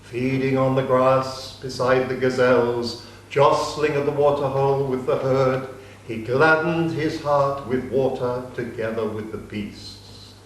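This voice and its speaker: A man narrating an ancient epic in a measured, solemn dramatic reading. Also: mid-range voice, steady tone, slight background noise. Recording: distant mic, echoey room.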